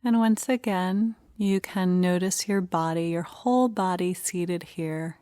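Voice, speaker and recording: A woman leading a guided meditation, speaking in short, gentle phrases with unhurried pauses. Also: on the low side, no echo, clean studio sound.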